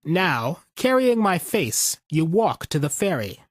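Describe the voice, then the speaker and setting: A man's voice: on the high side, big pitch swings, slow pace, no room sound, studio quality, exaggerated voice. Man voicing a sardonic battle-weary war veteran. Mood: commanding.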